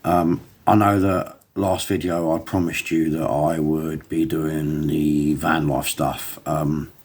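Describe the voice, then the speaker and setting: A middle-aged man vlogging to his phone, hoarse from a lost voice, rambling and defiant. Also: very deep voice, little pitch movement, slow pace, darkish tone. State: hoarse, recovering voice. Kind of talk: casual talk to camera.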